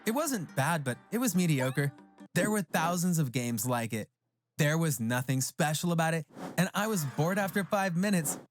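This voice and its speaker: A man narrating a scripted animated story as a proud, resentful teenage boy. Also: a little high, moderate pace, faint music behind. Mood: excited.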